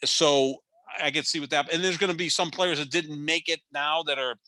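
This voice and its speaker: Bright-voiced opinionated man in his fifties. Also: fairly high pitch, steady tone, normal speed, dry recording, rough recording. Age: 50s. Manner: opinionated and rambling, with frequent restarts. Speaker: podcast co-host. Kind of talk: casual sports debate.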